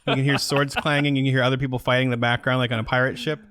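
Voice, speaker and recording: A man's voice: medium pitch, level tone, racing, a bit bright, no echo, studio quality. A man co-hosting a podcast with casual, joking banter. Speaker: podcast co-host.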